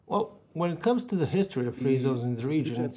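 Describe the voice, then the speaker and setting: A man's voice: mid-range voice, expressive, fast talker, crowd noise, close to an everyday voice. A man dubbing a veteran business executive. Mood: measured. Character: dubbed voice of a veteran male free zone executive. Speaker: interview guest, dubbed into English. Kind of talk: read dub of an interview answer.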